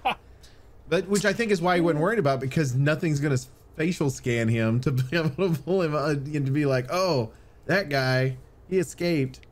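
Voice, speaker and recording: A man's voice: a little high, moderate pace, a little hiss or hum. A man co-hosting a podcast in casual, jokey banter, often sarcastically acting out imagined dialogue. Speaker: podcast co-host.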